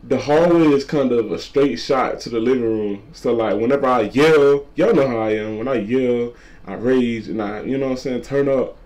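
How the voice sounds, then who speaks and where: A twenty-year-old man with a deep voice, a content creator talking casually to camera and repeating his points. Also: normal speed, darkish tone, low-quality recording. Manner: repetitive, laid-back slangy talk, repeats lines. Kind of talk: casual vlog talk to camera.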